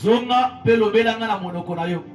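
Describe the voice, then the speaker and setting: A man interpreting a sermon, quickly repeating each line after the preacher. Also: sits high. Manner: quick echoing delivery that follows the preacher. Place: church hall. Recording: echoey church hall, far from mic, music behind.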